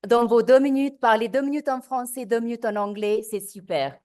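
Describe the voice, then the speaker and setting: A woman co-hosting a live video call, brisk and encouraging. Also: on the high side, mellow. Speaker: co-host.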